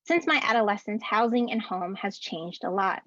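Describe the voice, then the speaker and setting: Earnest woman giving a measured speech. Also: mid-range voice, animated, fairly bright tone, dry recording. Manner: measured, earnest, deliberate delivery with rhythmic lists. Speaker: keynote speaker and educator. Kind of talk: prepared speech at a scholarship ceremony. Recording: dull, dry video-call audio.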